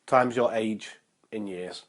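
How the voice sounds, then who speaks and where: A young man vlogging about fitness, his voice a little nasal from a cold and his throat sore, upbeat and motivational, with many ums and uhs, slowing down to spell out figures. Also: fairly low pitch, slight background noise. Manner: slow and careful when reading out numbers. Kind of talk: casual vlog talk and explainer.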